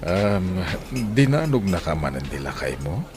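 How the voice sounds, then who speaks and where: A man playing a smooth-talking, flirtatious family friend with a Filipino accent in a radio drama. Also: sits low, sing-song, a little slow, background noise. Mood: generous, coaxing. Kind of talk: Ilocano radio drama acting.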